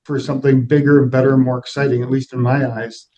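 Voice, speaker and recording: A middle-aged man speaks as an interview guest in a conversational register. His delivery is reflective and plain-spoken, with little rise and fall in pitch. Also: little pitch movement, fast talker, mellow, lo-fi sound. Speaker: interview guest, aviation executive.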